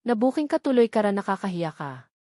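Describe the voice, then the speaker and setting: A woman's voice: medium pitch, big pitch swings, a little fast, dark tone, no echo, natural voice. Narrator as bashful young woman, Filipino accent, flustered, affectionate, informal, romance audiobook, dry recording. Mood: flustered self-scolding. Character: bashful young woman in love, self-scolding, reassuring.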